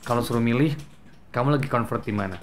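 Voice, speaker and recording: A man's voice: medium pitch, fast, a little hiss or hum. A male interview host asking questions in a chatty, prompting way, mixing in English phrases. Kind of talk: casual interview.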